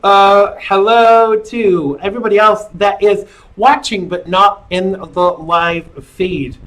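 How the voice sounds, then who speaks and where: A man's voice: high-pitched, fairly even intonation, slow pace, a bit bright, poor audio. A middle-aged man hosting a live-stream talk show, chatting in a rambling, jokey way with lots of fillers.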